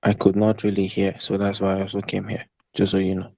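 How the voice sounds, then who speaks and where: A low, dark-voiced man with a Nigerian Pidgin accent speaks briefly and apologetically. The recording is dry and close. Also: completely flat delivery, fairly quick, gravelly, dry recording. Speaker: caller.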